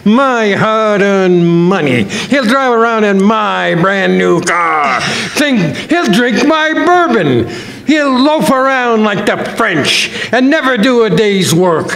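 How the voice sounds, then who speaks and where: An older man acts out a nervy, put-upon husband in a radio comedy. His voice is strikingly high for a man, full of indignant grumbling. It rings in a roomy, echoing space. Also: lively intonation, takes it slowly, fairly bright tone, exaggerated voice. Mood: indignant ranting. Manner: exasperated, complaining, indignant. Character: grumpy, anxious, long-suffering husband dreading an operation. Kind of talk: old-time radio comedy sketch acting.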